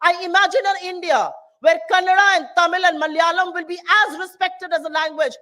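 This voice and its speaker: High-voiced woman giving a rousing speech. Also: steady tone, brisk, pushed and bright. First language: Hindi. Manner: rousing, repetitive rhetoric. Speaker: political speaker. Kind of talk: passionate public speech. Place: town hall.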